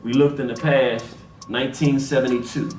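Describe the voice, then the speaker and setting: A man's voice: mid-range voice, steady tone, a little mellow, a little hiss or hum. Emphatic man in his forties, roomy echo. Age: 40s. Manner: emphatic, repeats key points slowly. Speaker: host. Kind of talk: live talk-show monologue. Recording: roomy, far from the mic, music behind.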